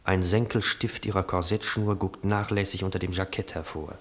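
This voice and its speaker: A man's voice: deep voice, fairly even intonation, moderate pace, a bit bright. A man narrating a literary radio drama as an observant storyteller, in precise, descriptive, clipped phrases. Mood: measured. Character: observant narrator of a brothel scene. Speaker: narrator of stage directions. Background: music.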